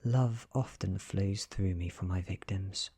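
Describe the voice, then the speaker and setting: Very breathy, low British man reading softly. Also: measured pace. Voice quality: breathy, near whisper. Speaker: narrator. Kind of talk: soft-spoken ASMR horror story reading.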